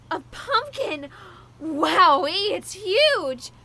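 A woman playing an eager, excitable little boy in an audio drama, exclaiming in a childlike way. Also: very high voice, extremely slow, heavily acted. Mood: amazed, excited. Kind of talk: audio drama character acting.

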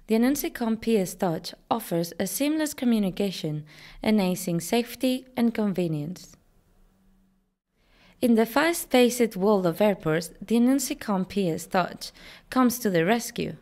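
A woman in her thirties speaks as a company presenter. Her voice sits at an average pitch for a woman. She delivers scripted product narration in an upbeat, promotional manner. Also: a little mellow. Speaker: company presenter, digital marketing manager.

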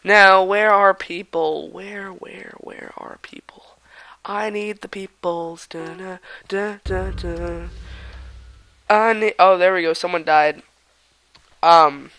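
A young man with a voice gone raspy from a cold, rambling casually while commenting on his own gameplay, sometimes muttering or whispering asides. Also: high voice, fairly even intonation, slow pace, sharp tone, no echo. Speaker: gaming channel host.

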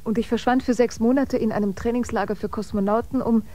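Actress as a high-voiced, composed space traveller. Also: female voice, fairly even intonation, quick pace, warm tone, close to an everyday voice. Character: self-possessed female biomusician bound for Mars. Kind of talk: German science-fiction radio play acting.

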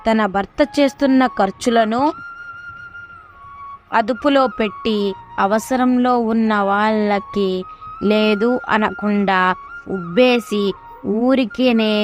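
A woman narrating a scripted story, with chatty asides to the listener. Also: medium pitch. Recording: music behind the voice. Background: background music.

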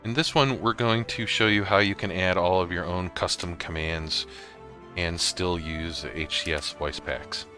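A man narrating a casual gaming software tutorial, explaining things informally step by step. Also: low voice, a little slow, soft background music. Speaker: host of a gaming tutorial series. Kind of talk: casual software tutorial narration over slides and screen capture.

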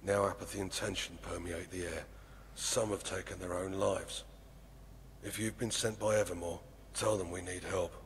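A man voicing a grim, weary townsman, deep. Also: little pitch movement, slight background noise, close to an everyday voice. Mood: foreboding. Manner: dark, measured, foreboding delivery. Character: grim weary townsman warning of his failing city. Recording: game audio with long reverb and faint ambience.

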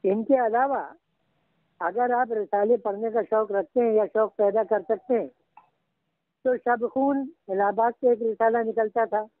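An older man teaching Urdu literature, slowly and patiently dictating references to a student. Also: high voice, steady tone, no room sound. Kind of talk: guiding a student over the phone. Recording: tinny phone line.

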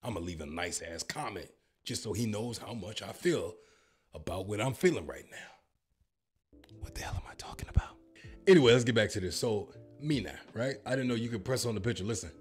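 American man, playful bragging commentary, lowish voice, faint music behind. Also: fairly quick, husky. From United States. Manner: joking, boastful, animated. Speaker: YouTuber reacting to a language app. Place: home studio with purple lighting. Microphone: handheld microphone.

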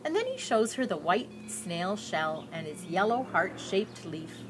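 Lively older woman reading a picture book. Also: medium pitch, a little slow. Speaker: storybook reader. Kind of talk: expressive read-aloud of a children's picture book. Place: outdoors on a garden patio. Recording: outdoor recording with background noise.

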